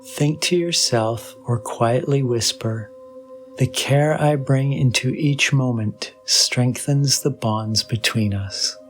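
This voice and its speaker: A man leading a guided meditation in a soothing, measured way with long pauses, in a slow meditative style. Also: mid-range voice. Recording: voice over background music with singing bowl. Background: meditation music.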